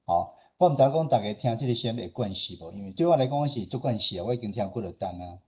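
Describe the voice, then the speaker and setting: A man's voice: medium pitch, lively intonation, fast talker, warm tone, husky. Taiwanese guy doing an informal talk, explains stuff chattily, bit of husk in the voice. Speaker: radio station director and host presenting a talk. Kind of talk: informal slide presentation.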